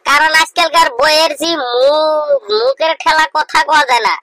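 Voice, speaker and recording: A man voicing a young village man who teases his friend in crude, rough banter, speaking. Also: extremely high pitch, big pitch swings, normal speed, background noise, exaggerated voice. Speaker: voice actor. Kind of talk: comedic cartoon dialogue. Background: loud music.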